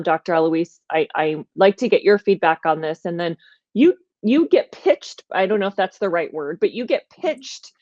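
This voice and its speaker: A woman hosts a podcast in an energetic, emphatic way. She talks at a middle pitch and thinks aloud with small restarts. Her voice is dry and a little dull, with no room sound. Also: dry recording. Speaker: podcast host. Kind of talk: conversational podcast talk.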